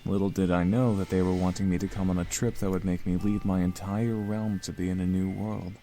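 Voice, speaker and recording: A man voicing a formal, earnest young demon prince in a visual novel, soft-spoken, with music underneath. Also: low voice, flat delivery, a little fast. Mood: solemn.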